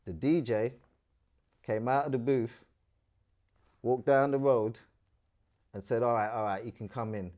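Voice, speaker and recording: A middle-aged man from Trinidad, telling his story as an interview guest in animated, slangy casual talk. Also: mid-range voice, measured pace, pauses often. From Trinidad and Tobago.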